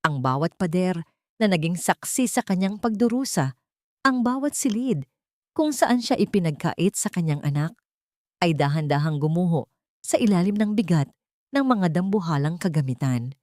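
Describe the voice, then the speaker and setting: A woman narrating a scripted dramatic story in a solemn, sentimental and measured way. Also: fairly low pitch, sing-song, a little mellow, no echo, clean studio sound.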